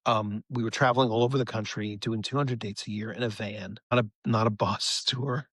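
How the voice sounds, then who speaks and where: A man's voice: lowish voice, steady tone, a little fast, fairly bright tone, dry recording, studio quality. A middle-aged man telling stories casually as an interview guest. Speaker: rock band leader as podcast guest. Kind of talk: casual anecdotal interview chat.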